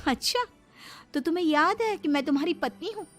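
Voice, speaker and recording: A woman's voice: sits high, expressive, a little fast, a bit bright, voice pushed up. A woman voicing an outspoken young daughter-in-law in a moral story, argumentative and indignant. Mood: defiant. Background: music.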